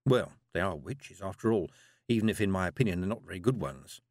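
A man's voice: low voice, lively intonation, brisk, a little mellow, no echo, clean studio sound. A man voicing a proud, indignant young girl witch in a storybook, defiant but dutifully polite. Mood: disdainful.

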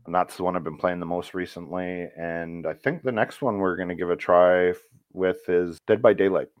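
Deep-voiced man chatting loosely. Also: fairly quick, dry recording. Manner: rambling, relaxed storytelling with fillers. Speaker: voice actor guest. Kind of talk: casual interview chat.

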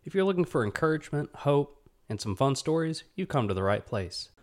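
A man's voice: fairly high pitch, sing-song, fairly quick. A man with an Alabama accent hosting his own podcast, talking earnestly in a rambling way full of fillers and restarts. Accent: Alabama Southern. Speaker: podcast host, pathology resident. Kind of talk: casual solo podcast monologue.

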